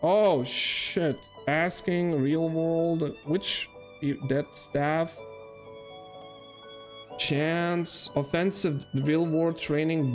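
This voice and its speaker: Rambling Lithuanian-accented man streaming a game. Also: fairly high pitch. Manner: rambling, chatty, self-mocking, talks to chat. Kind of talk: casual live-stream gaming commentary.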